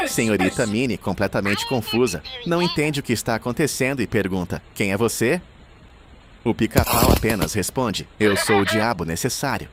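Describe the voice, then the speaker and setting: A Brazilian man with a fairly low voice hosts and narrates a horror tale. He speaks as a storyteller addressing his viewers, at an even, ordinary pace. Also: normal speed, over loud music. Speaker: narrator and host.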